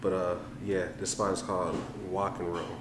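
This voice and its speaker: A low-pitched American man talks to his handheld camera in an easy, casual vlog style. He is laid-back and tends to repeat himself. Clear ambient noise sits behind his voice. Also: flat delivery, moderate pace. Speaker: vlogger. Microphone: handheld camera at arm's length.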